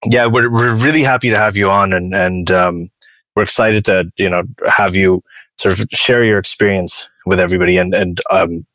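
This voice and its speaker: Man, podcast host, very deep voice, hesitant with lots of ums, dry close sound. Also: steady tone, a bit bright, no echo, poor audio. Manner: halting, many fillers and restarts. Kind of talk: podcast interview.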